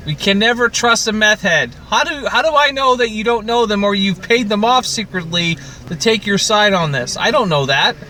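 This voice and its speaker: A man's voice: high-pitched, lively intonation, normal speed, sharp tone, a little hiss or hum. A man co-hosting a podcast in casual, teasing banter, needling his friend as he talks. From Canada. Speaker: podcast co-host.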